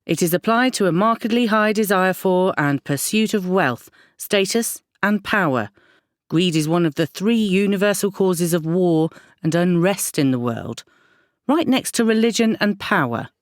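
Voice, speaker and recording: A woman playing a stern counsellor in an audio drama, measured and pointedly questioning. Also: fairly low pitch, expressive, no echo, clean studio sound, close to an everyday voice. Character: stern, probing female counsellor challenging a client.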